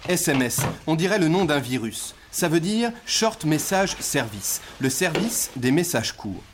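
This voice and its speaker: Slightly high man narrating a TV segment. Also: big pitch swings, a little slow. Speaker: TV documentary narrator. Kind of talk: scripted narration. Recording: archive TV segment, faint background noise.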